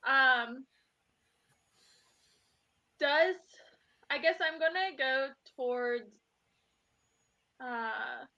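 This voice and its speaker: A woman with a high, bright voice talks casually as a player in a tabletop game. She thinks aloud as she decides on her moves. The audio is somewhat dull and lo-fi. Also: extremely slow, pauses often.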